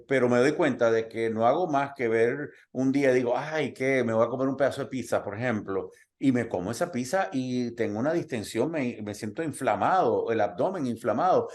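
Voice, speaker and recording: A man's voice: medium pitch, darkish tone. Chatty man hosting a health talk. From Cuba, raised in Puerto Rico. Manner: chatty, many fillers, personal anecdotes. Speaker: host. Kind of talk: conversational interview.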